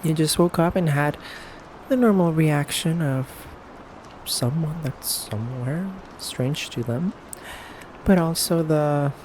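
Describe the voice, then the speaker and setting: A man playing a menacing, teasing male vampire captor in an ASMR roleplay, speaking softly and hesitantly. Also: a little high. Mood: knowing, composed. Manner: slow, hesitant, teasing, quietly threatening. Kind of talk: ASMR roleplay addressed to the listener. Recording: clearly noisy background ambience.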